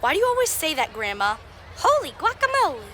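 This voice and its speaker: Casting: female performer as complaining little grandson for a puppet show, high and bright, slight background noise. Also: huge pitch swings, fairly quick, exaggerated voice. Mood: teasing. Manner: whiny, complaining. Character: reluctant, whining young grandson, a boy. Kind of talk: church puppet show acting.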